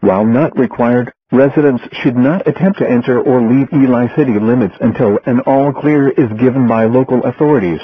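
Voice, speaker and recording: A man with a synthetic-sounding voice reading a formal emergency broadcast announcement in a flat delivery. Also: mid-range voice, normal speed, few pauses, husky. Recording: muffled, phone-like emergency radio transmission.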